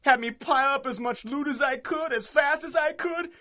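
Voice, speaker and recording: An actor playing a craven, defiant small-time crook in a 1930s-style radio drama, blustering and stammering. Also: male voice, very high-pitched, normal speed, bright, forceful tone. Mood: cowed, confessing.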